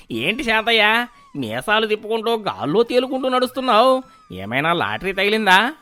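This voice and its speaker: A man voicing a sly, jealous village schemer in a cartoon moral story, theatrical and ominous with drawn-out emphasis. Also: high-pitched, sing-song, pushed and bright, heavily acted. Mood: mocking.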